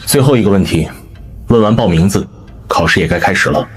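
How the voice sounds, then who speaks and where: Low, domineering tough-guy survivor boss. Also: lively intonation, fairly quick, soft background music. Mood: commanding. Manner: gruff, bullying, blunt. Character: domineering foul-mouthed veteran gang-boss survivor. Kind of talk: animated drama dialogue.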